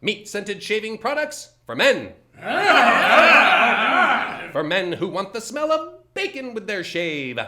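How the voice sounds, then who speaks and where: Bombastic macho radio pitchman, shouting high. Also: very animated, slow pace, long pauses, full character voice. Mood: loud hard sell. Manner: shouting, emphatic salesman. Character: bombastic macho male shaving cream pitchman. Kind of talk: old-time radio advertisement acting. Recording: music behind, live stage.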